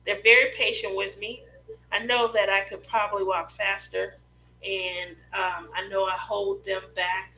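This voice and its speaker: Middle aged lady just chatting to her livestream, bright voice, rambly stories, faint noise and hum behind her. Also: mid-range voice, little pitch movement, a little slow, rough recording. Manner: chatty, rambling personal storytelling. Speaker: craft livestream host. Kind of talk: casual chat with live viewers.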